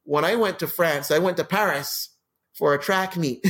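Canadian guy in his 40s or so, guest on a podcast, telling funny travel stories, kinda high voice, acts stuff out. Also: normal speed. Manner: animated anecdotes with laughs and acted-out quotes. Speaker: guest, crime novelist. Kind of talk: casual interview storytelling.

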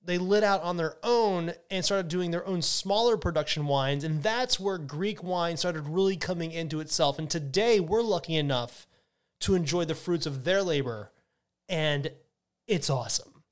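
A man's voice: fairly high pitch, normal speed, clean tone. A man hosting a podcast, talking casually and enthusiastically about wine, often restarting his sentences. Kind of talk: casual educational monologue.

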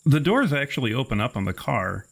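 A man narrating a tabletop horror game as game master, in a measured, wordy, descriptive way. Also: medium pitch, sing-song, vocal fry.

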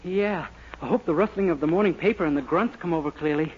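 A man's voice: on the high side, fast talker, natural voice. An actor playing a naive, eager young man with boyish gee-whiz earnestness in a 1940s radio sitcom. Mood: doubtful, naive. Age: young adult. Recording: scratchy, muffled 1940s radio recording.

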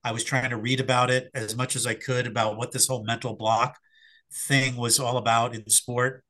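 A middle-aged man, slightly hoarse after an illness, answering interview questions in a reflective, hesitant way with fillers and restarts. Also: medium pitch, level tone, normal speed, pushed and bright, rough recording. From Philadelphia area. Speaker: sports psychologist guest.

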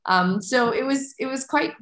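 A woman's voice: sits high, moderate pace, roomy sound. A woman in her thirties, from Malaysia and raised in Canada, talking as a podcast guest in a chatty, open way with frequent restarts. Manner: chatty, warm laughs, many restarts. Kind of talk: conversational interview.

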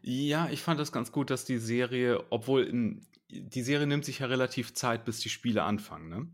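A man's voice: mid-range voice, moderate pace. A man co-hosting a podcast, chatting casually and easily about a series, laughing now and then. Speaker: podcast co-host. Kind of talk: casual chat reviewing a series.

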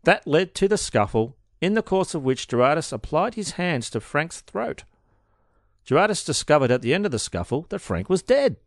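A man's voice: fairly high pitch, very animated, normal speed, no room sound, studio quality. A man co-hosting a casual true-crime podcast, reading out case details and joking in between.

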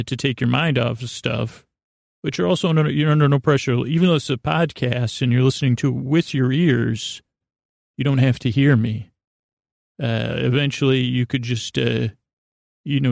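A man hosting a sleep podcast in a creaky, soothing voice, meandering and halting through a lulling bedtime monologue full of digressions. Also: mid-range voice, darkish tone, no room sound, clean studio sound. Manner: meandering, halting, over-talking with many digressions. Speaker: podcast host.

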